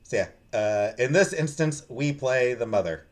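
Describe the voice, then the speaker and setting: A man's voice: mid-range voice, animated, normal speed. A man streaming a visual novel, switching between casual chat with viewers and reading narration aloud.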